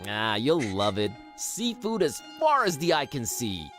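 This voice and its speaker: Cheerful young game adventurer, casual and extremely expressive, music behind the voice. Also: male voice, mid-range voice, measured pace. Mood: upbeat. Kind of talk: cartoon adventure game acting. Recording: music under the voice.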